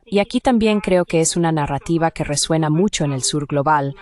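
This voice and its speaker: A woman's voice: low-pitched, fairly quick, darkish tone. A woman speaking as an academic expert, giving explanatory answers in an interview.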